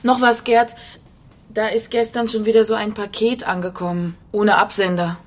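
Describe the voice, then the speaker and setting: Actress as a husky, long-suffering wife. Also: female voice, medium pitch, level tone, moderate pace, lightly acted. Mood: reproachful. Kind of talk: radio crime drama acting.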